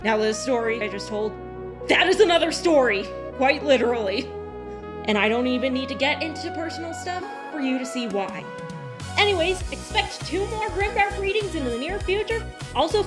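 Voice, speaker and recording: A woman with a fairly high voice speaks as the host of a channel. Her manner is informal and chatty, and music plays clearly underneath her. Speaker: channel host and story narrator. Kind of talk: dramatic horror reading, then casual chatty outro.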